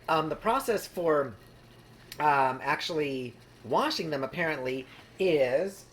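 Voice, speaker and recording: A home baker talks through a project in a casual, rambling way, unscripted and hedging along the way. The voice sits at a middling pitch, and clear background noise runs through the homemade recording. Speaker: home baker hosting a craft project video. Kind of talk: casual unscripted how-to narration.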